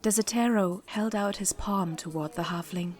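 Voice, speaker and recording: A woman's voice: mid-range voice, moderate pace. A woman reading scripted narration for a fantasy audio drama. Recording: noisy recording with clicks.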